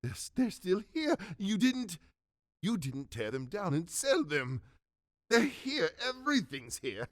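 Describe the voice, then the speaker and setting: A man acts out an old miser overcome with giddy joy in a radio play. The voice sits fairly high and leaps about in animated swoops, with stammered, repeated exclamations. The sound is dry and close. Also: measured pace, no room sound. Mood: giddy, overjoyed. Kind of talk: theatrical radio drama acting.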